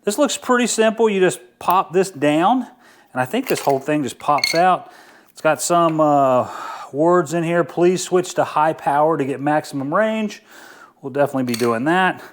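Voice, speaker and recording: A man's voice: a little high, lively intonation, moderate pace, a little hiss or hum. A man talking casually through a product unboxing and review, informal and easygoing, thinking aloud as he goes.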